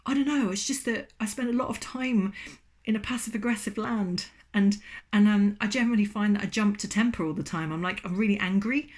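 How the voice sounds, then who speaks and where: A woman's voice: medium pitch, fairly quick, darkish tone, natural voice. A woman voicing a short-tempered male bear in a storybook tale, sounding flustered and irritable.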